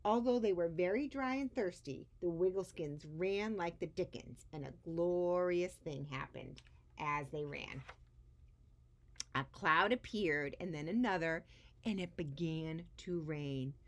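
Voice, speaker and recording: Slow-paced, low-pitched woman reading stories. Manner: dramatic pauses and emphasis on key words. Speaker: children's story reader. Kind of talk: expressive picture-book read-aloud.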